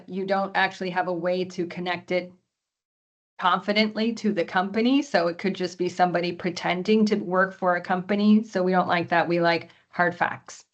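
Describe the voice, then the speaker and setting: Woman, mid pitch, losing her voice; webinar presenter on a video call, throat clearing, lots of ums. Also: highs cut off. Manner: frequent throat clearing, many fillers. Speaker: export presenter.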